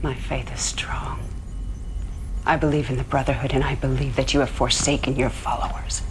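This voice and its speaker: A woman's voice: rumbling low, fairly even intonation, moderate pace, noisy background. A woman playing a defiant, loyal and desperate military commander in a video game, with intense, earnest delivery. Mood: quiet defiance. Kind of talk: dramatic acting in game cutscenes.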